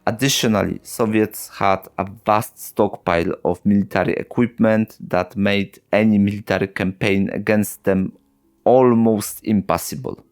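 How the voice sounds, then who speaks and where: A man's voice: lowish voice, steady tone, clean tone, soft background music. A man hosting a history video, English not his first language, narrating with wry, sarcastic asides. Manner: slow, wry, sarcastic, with short chuckles. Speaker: history channel host.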